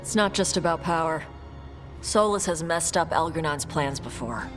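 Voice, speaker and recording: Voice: mid-range voice, lively intonation, moderate pace, lightly acted. An actor playing a plain-spoken, casual young warrior in a video game cutscene. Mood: frank, casual. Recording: loud music behind the voice.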